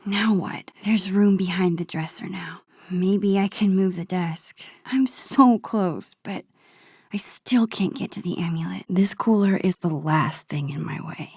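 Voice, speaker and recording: Breathy, hushed young girl adventurer. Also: mid-range voice, dry recording, studio quality. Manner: musing to herself, understated, dryly playful. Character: soft-spoken, wistful, nerdy young girl adventurer. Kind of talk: video game character monologue.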